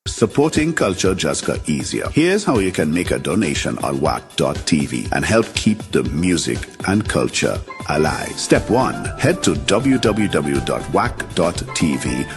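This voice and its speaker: A low-voiced man delivers a scripted promotional voice-over. His intonation swings widely as he gives step-by-step instructions, and a clear music bed plays underneath. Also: sing-song, measured pace, hardly any pauses.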